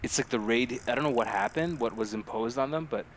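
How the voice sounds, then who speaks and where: A man chats as a podcast co-host in a medium-pitched voice. He talks informally in loose anecdotes with some swearing, over faint background noise. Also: a little fast. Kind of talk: casual podcast chat.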